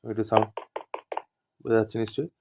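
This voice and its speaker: Flat-voiced man explaining, noisy recording. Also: lowish voice, fast talker, creaky. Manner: repetitive step-by-step explaining. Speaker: instructor demonstrating walkie-talkies. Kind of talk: informal hands-on tutorial.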